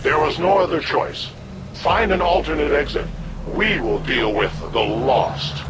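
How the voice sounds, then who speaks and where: A man's voice: a little high, sing-song, unhurried, background noise. A man playing a solemn, fierce alien warrior in a video game, speaking in formal, clipped, grave phrases. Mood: urgent. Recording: loud game music over the voice. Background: loud music, gunfire.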